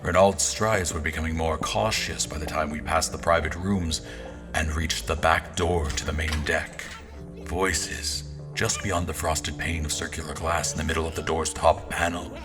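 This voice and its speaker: Actor as royal officer, very low voice, measured, formal, storytelling, music underneath. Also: male voice, fairly even intonation. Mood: grave, watchful. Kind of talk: scripted first-person audio drama narration.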